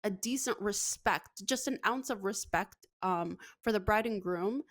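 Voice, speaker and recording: A woman's voice: medium pitch, unhurried, dry recording, clean studio sound. A woman giving emphatic, opinionated commentary in casual talk show chat. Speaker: technical producer and on-air commentator.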